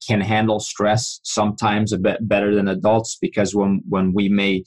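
A man's voice: fairly low pitch, monotone, moderate pace, a little mellow. A man in his forties from Iran, a guest on an interview, earnestly recounting memories and often restarting his sentences. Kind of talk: storytelling interview.

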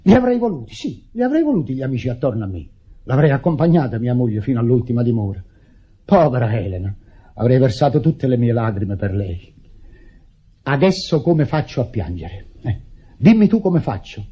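An actor playing an indignant, self-pitying widower in a radio drama, declamatory and stammering in agitation. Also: male voice, medium pitch, sing-song, brisk, slightly rough. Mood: bitter, lamenting. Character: indignant grieving widower, theatrical, self-pitying, berating his sister. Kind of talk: Italian radio drama acting. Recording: dull lo-fi old radio recording.

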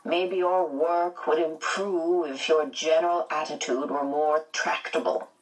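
Woman voicing a stern, low-pitched male principal. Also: fairly even intonation, recorded from a distance, poor audio. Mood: stern, exasperated. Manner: measured, admonishing. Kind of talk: audiobook character voice.

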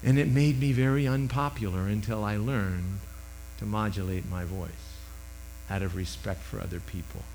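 A man in his sixties with a loud voice, preaching a sermon into a microphone with emphatic swings between loud and hushed. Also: fairly low pitch, fairly even intonation, normal speed, slight background noise, low-quality recording.

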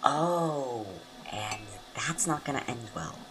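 A teasing young roommate with a very deep voice and lively, swinging intonation. The talk is casual audio drama dialogue, nosy, confident and playful, with faint noise behind it. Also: female voice, slow pace, exaggerated voice. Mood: probing, exasperated. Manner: playful, probing, expressive.

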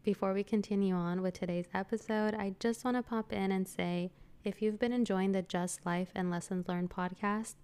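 A woman hosting her own reflective podcast, congested from a cold and speaking slowly and gently as she reads her own poetry. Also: mid-range voice, steady tone, clean tone. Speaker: podcast host.

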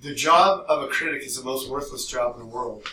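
A man co-hosting a podcast in casual, rambling chat, telling things in an animated way and swearing freely. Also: mid-range voice, fairly quick. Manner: animated storytelling, frequent swearing. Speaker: podcast co-host. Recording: roomy echo, distant mic, faint noise.

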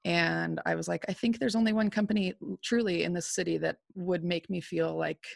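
A woman hosting a podcast, talking in a relaxed, conversational way. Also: lowish voice, brisk, creaky, no echo, clean studio sound. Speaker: podcast host. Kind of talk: casual podcast chat.

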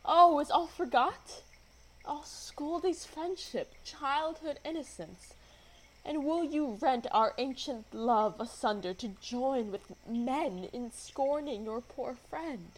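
Wounded young heroine for Shakespeare radio drama, played by a woman: highish voice, slow deliberate verse, indignant and theatrical. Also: low-quality recording. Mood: indignant, accusing, then hurt, reproachful. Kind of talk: amateur Shakespeare radio play acting.

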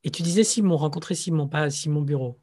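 A man interviews a guest, his voice sitting a touch higher than most men's. He keeps the talk casual and moves it along with short prompts and questions. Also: fast talker, a little mellow.

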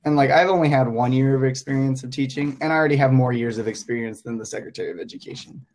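A man's voice: mid-range voice, fast, darkish tone. Hesitant young man from Hong Kong. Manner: hesitant, thinking aloud with many fillers. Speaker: podcast guest, English teacher. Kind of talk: casual podcast chat.

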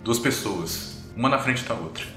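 A man's voice: mid-range voice, lively intonation, normal speed, bright, forceful tone. A man with a Brazilian accent improvising tabletop RPG narration as game master, setting scenes piece by piece. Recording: roomy echo, music underneath. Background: background music.

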